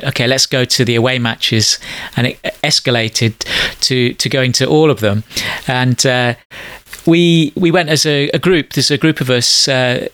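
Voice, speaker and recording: A man's voice: medium pitch, fairly bright tone, smooth, no echo. A middle-aged man hosting a podcast, chatting loosely over a call and repeating words while he thinks. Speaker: podcast host. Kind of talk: casual chat over a call. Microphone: call audio.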